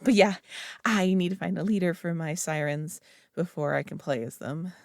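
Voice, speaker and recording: A woman's voice: lowish voice, moderate pace, creaky, dry recording, clean studio sound. A young woman voicing a nerdy friend who rambles eagerly about her tabletop hobby, full of "like" and giggles, in a scripted roleplay. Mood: rambling eagerly. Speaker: voice actor in an audio roleplay. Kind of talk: scripted roleplay monologue to the listener.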